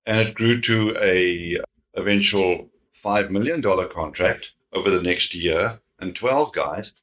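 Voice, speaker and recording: A man's voice: low-pitched, measured pace, fairly bright tone. A middle-aged South African man whose first language is Afrikaans, telling his life story as an interview guest in an earnest way, often repeating words. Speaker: guest, security company founder.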